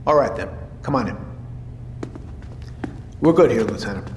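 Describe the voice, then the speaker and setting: An actor playing an exacting, fatherly navy captain in an audio drama, speaking in a formal, measured, matter-of-fact way. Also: mid-range voice, lively intonation, close to an everyday voice. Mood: authoritative, calm. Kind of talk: naturalistic audio drama dialogue. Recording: long hall reverb, faint noise.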